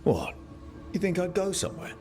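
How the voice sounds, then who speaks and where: An actor playing an earnest, reassuring adventurer in a fantasy video game, gentle and sincere in delivery. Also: male voice, sits high, big pitch swings, moderate pace, soft background music. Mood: warm, steady. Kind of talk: video game cutscene acting.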